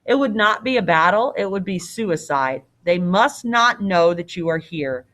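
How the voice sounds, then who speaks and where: A woman's voice: on the low side, expressive, a little slow, fairly bright tone. A woman voicing an anxious, doting old king and father, cautious and fretful, in a storybook. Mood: worried, regretful.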